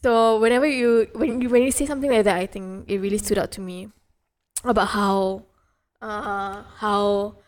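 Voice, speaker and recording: A woman's voice: mid-range voice, steady tone, normal speed. A woman hosting a podcast interview in a casual, conversational way, reflective and often searching for her words. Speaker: podcast host.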